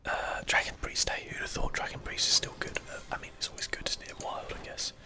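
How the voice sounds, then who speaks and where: A gaming host whispering casual ASMR commentary in a soft breathy voice, self-deprecating and lightly sweary. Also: fast.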